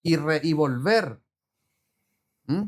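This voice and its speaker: A man's voice: fairly high pitch, expressive, slow pace. A man being interviewed as an entrepreneur, talking earnestly, repeating phrases and quoting the Bible. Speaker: guest entrepreneur. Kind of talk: casual chat with preacherly flourishes.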